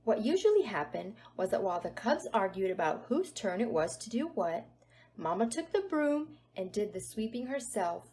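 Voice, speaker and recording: A woman's voice: mid-range voice, very animated, moderate pace, mellow, slight background noise. A woman narrating a children's storybook aloud expressively, with dramatic emphasis on key words. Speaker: storybook reader.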